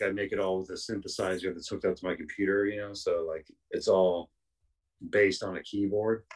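A young man with a raspy voice, chatting casually as a podcast guest, rambling with lots of fillers and restarts. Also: sits low, recorded from a distance. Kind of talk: casual chat.

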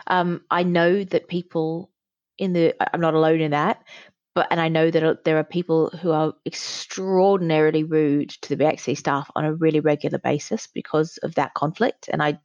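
A woman's voice: low-pitched, flat delivery, normal speed, a little mellow, no echo. A middle-aged woman in casual conversation, giving candid, reflective opinions, on slightly dull lo-fi audio. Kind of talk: casual chat.

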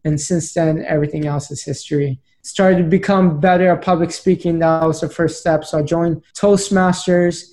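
A man's voice: a little high, fairly even intonation, normal speed, few pauses, darkish tone. A man in his late twenties, a podcast guest telling his story in an earnest, plain way. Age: late 20s. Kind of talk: conversational storytelling.

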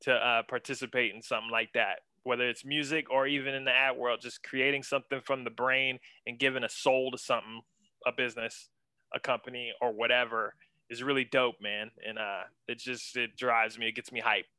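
Bright, crisp-voiced laid-back young man. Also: mid-range voice, little pitch movement, a little fast, dry recording. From western Pennsylvania. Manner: laid-back, slangy, enthusiastic, says man a lot. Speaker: guest, senior art director. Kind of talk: casual interview chat.

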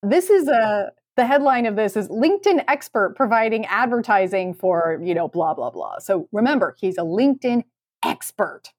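A woman's voice: mid-range voice, lively intonation, normal speed. A woman co-hosting a podcast in casual, informal, conversational talk with a sardonic edge. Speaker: podcast co-host and recruiter.

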